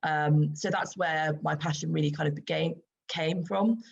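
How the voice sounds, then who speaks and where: A woman in her forties with a croaky voice hosting an online video-call webinar, chatty and full of ums. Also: deep voice, fairly even intonation, normal speed, lo-fi sound. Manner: chatty, many ums, light laughs. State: croaky voice after throat infection. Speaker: webinar host and skincare expert. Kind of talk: casual online presentation.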